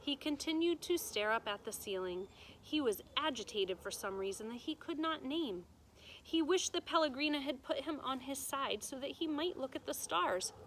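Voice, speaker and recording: A woman's voice: high-pitched, poor audio. A woman teacher reading a storybook aloud to children, with emphatic delivery and simple explanations. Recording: noticeable background noise.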